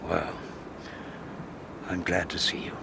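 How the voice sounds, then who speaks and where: An English actor playing a detective's loyal, earnest companion in a radio drama, speaking in a forthright, gentlemanly way. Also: male voice, deep voice, flat delivery, slow pace, gravelly, heavy background noise, full character voice. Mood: sober.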